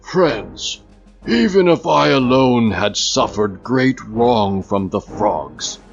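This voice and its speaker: A man's voice: lowish voice, dull audio. A man voicing a grieving, wrathful mouse father who speaks like a solemn orator in a dramatized storybook audiobook. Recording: music under the voice.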